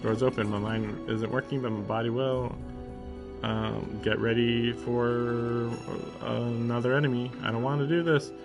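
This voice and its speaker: A man's voice: medium pitch, little pitch movement, measured pace, gravelly, music in the background. A man live-streaming a visual novel, reading it aloud in a mock-dramatic way and breaking off into sarcastic, sometimes profane asides. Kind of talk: live commentary mixed with dramatic read-aloud.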